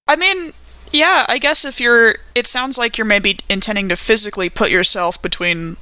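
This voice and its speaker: A woman's voice: fairly high pitch, normal speed, sharp tone, no echo. A woman bantering casually as a player in a tabletop game, playful and teasing.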